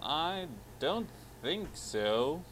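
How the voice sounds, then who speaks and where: A man's voice: fairly high pitch, big pitch swings, very slow, fairly bright tone, a little hiss or hum. A man co-hosting a casual commentary, reading a story aloud theatrically and adding dry, sarcastic asides.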